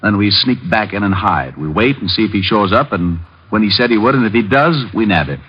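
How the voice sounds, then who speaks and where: An actor playing a tough, wry private detective in an old-time radio drama, both narrating and trading wisecracks. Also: male voice, fairly low pitch, lively intonation, brisk, breathy edge. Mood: urgent, planning. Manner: wry, sardonic. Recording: dull old radio broadcast with music.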